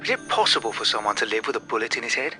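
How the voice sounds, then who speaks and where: A very sharp, bright male voice with a telephone sound, playing a chief inspector in a radio crime play. He is terse and dry, questioning in a sober, deadpan way. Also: medium pitch, racing, soft background music. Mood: probing. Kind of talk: radio drama acting.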